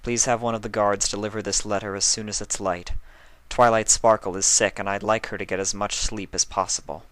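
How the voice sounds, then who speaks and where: A man voicing a regal, composed adult pony princess, caring but quick-tempered, in a measured, formal manner. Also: on the low side, flat delivery, fairly bright tone, dry recording, lightly acted.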